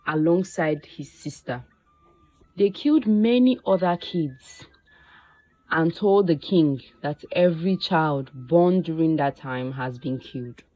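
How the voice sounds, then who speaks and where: A woman narrating a scripted folktale in a measured, deliberate way, phrase by phrase. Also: low voice, dark tone, dry recording, lo-fi sound. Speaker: storyteller.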